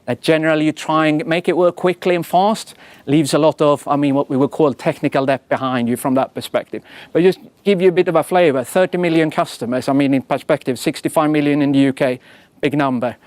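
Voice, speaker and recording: A man's voice: fairly high pitch, a little fast, darkish tone, dry recording. Chatty Swedish-accented man giving a talk. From Sweden. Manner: chatty, self-deprecating, many fillers and tag questions. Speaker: engineering leader giving a talk. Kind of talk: casual conference talk.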